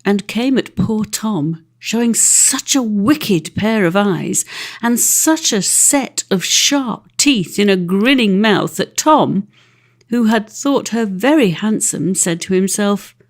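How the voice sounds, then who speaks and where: A woman's voice: mid-range voice, expressive, takes it slowly. A woman reading a classic children's story as an audiobook narrator.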